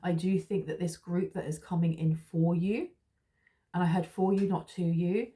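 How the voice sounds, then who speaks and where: Woman, tarot reader, lowish mellow voice, chatty and reassuring, lots of ums and restarts. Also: fairly even intonation, moderate pace. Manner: rambling, reassuring, with many fillers and restarts. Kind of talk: casual spiritual tarot reading.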